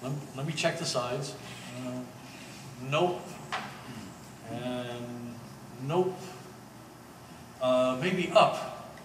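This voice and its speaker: Man acting a wry treasure hunter, distant and echoey. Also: mid-range voice. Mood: musing, wry. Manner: halting, thinking aloud, wry wordplay. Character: rambling, wry, bearded treasure hunter, excitable. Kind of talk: live one-act stage play. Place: art gallery performance space. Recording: echoey hall from afar, faint noise.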